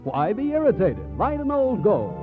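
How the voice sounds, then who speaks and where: A man's voice: high voice, huge pitch swings, moderate pace. An American man reading scripted announcements and advertising as a 1940s radio announcer. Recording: tinny 1940s radio, music behind.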